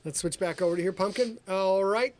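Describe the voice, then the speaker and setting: A man's voice: high voice, fairly quick, noisy background. A man co-hosting a livestream, tossing out crude jokes and loose banter. His talk is casual and playful. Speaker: podcast co-host.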